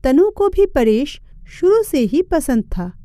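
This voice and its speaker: Slow, high-voiced woman narrator. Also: very animated, warm tone, no room sound. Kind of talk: scripted moral story narration.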